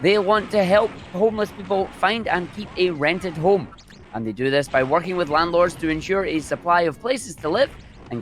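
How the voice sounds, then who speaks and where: A man's voice: a little high, a bit bright, a little hiss or hum. A man hosting a gaming marathon, reading a charity appeal aloud in an informative way.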